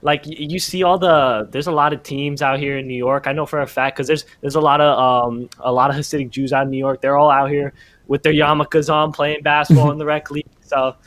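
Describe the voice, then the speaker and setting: A man's voice: a little high, flat delivery, fairly quick, a bit bright. A young man who grew up partly in Israel, with Hebrew as his first language, chatting as a guest, hesitant and full of restarts. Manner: hesitant, many restarts and fillers. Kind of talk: casual interview chat.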